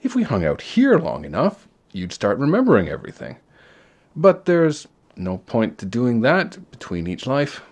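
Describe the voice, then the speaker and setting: A man's voice: mid-range voice, wildly swooping pitch, moderate pace, a little mellow. A man voicing a casual, kindly God in a storybook, offhand and reassuring, with a matter-of-fact manner. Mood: kindly, explaining. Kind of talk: storybook character voice.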